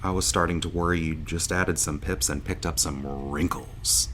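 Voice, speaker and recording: A man's voice: deep voice, fairly even intonation, normal speed, a bit bright, a little hiss or hum. A man acting in an audio drama as a jovial, bewildered starship pilot just woken from a long sleep, informal and earnest. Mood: curious. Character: jovial, bewildered starship pilot waking after seventeen years asleep.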